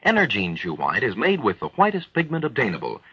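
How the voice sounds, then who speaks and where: A man reading a 1940s radio advertisement as an announcer, with salesman-like emphasis and a husky voice. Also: lowish voice, huge pitch swings, a little fast, pushed and bright. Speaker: commercial and network announcer. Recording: dull, dry vintage radio recording.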